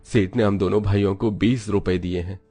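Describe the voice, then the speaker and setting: A man playing a responsible elder brother and husband in a cartoon moral story, calm and reassuring. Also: fairly low pitch, moderate pace, close to an everyday voice. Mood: patient.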